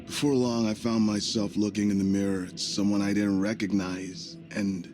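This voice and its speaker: A man playing a calm, self-assured space company tycoon in a sci-fi game, speaking in a reflective, unhurried way. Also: on the low side, lightly acted. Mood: introspective. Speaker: voice actor in a video game. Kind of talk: cinematic sci-fi game acting. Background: music.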